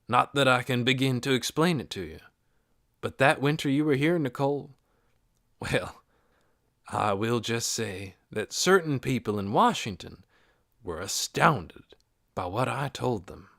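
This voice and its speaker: Man acting out an eccentric male astronomer, storybook character, intense, secretive, emphatic, wildly varied pitch, dry sound. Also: lively intonation, a little slow, vocal fry, fairly bright tone, no room sound. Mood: guarded, proud. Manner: emphatic, clipped exclamations and musing. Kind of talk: audiobook character voice.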